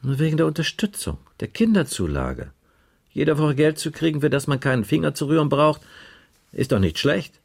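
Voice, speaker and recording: An actor playing a blunt, world-weary police inspector in a radio crime drama, speaking in a matter-of-fact, sardonic and deliberate way. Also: male voice, fairly high pitch, big pitch swings, creaky, a little mellow, husky, full character voice. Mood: cynical. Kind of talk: 1980s German radio crime drama acting.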